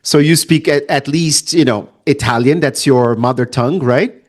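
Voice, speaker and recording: A man hosting an interview podcast, enthusiastic and quick to laugh, whose first language is Portuguese. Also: mid-range voice. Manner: enthusiastic, laughs often, frequent fillers. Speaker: podcast host. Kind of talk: conversational interview.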